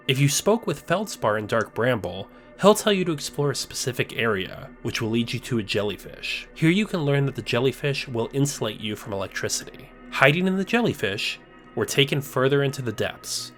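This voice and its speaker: A man narrating a scripted video essay, explaining a story step by step in a measured way. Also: medium pitch, fairly bright tone.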